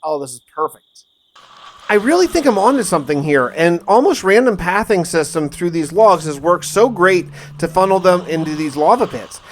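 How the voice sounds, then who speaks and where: Male gamer-narrator, slightly high voice, diary-style survival recap, casual yet dramatic storytelling with dry asides. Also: sing-song, normal speed, a little hiss or hum.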